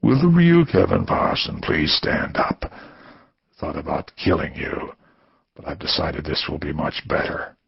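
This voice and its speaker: A man doing a breathy, menacing phone villain. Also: sing-song, no room sound, lo-fi sound, heavily acted. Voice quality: low, breathy, drawn-out syllables. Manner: condescending, slow and whispery. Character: menacing male caller, low breathy taunting villain.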